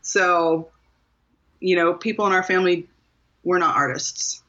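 A woman's voice: lowish voice, fairly even intonation, a little slow, fairly bright tone, highs cut off. A woman from Maryland, a guest author, talking thoughtfully and earnestly about her path to writing in an interview, with small laughs and restarts. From Maryland, USA. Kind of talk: reflective interview conversation.

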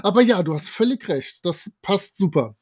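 A man's voice: sits high, lively intonation, moderate pace, a little mellow, breathy edge. A man in a casual podcast discussion, talking in a playful, mock-dramatic way. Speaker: podcast panelist.

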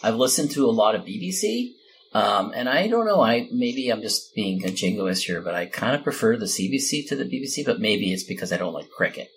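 A man's voice: fairly low pitch, expressive, brisk, a little hiss or hum. A man hosting a casual, unscripted podcast conversation. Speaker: podcast host.

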